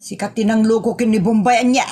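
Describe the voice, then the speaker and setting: A woman's voice: a little high, steady tone, fast, warm tone, breathy edge. A woman playing a nosy, scolding village woman in a comedy drama, speaking emphatically with an Ilocano accent. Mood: scolding, accusing.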